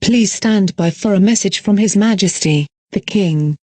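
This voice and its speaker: A woman's voice: mid-range voice, measured pace, mellow, slightly rough, dry recording. A woman making a formal emergency announcement as a broadcast announcer.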